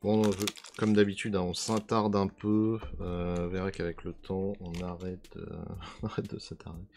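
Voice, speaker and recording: A man with a hoarse voice casually commenting as he unboxes trading cards, often trailing off while he tries to recall names. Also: sits low, little pitch movement, moderate pace, a little mellow, slight background noise. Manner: musing, trails off hunting for names. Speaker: card unboxing video host.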